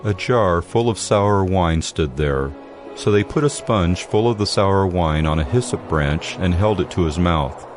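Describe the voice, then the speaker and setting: A man's voice: deep voice, lively intonation, unhurried. A man reading a scripted, dramatized scripture narration. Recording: music bed under the voice.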